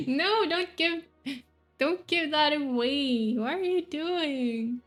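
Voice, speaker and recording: Young woman streamer from Sweden, unhurried, hesitant casual chatter, faint music under her. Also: high voice. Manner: rambling, hesitant, self-correcting, giggly. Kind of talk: casual gameplay chat.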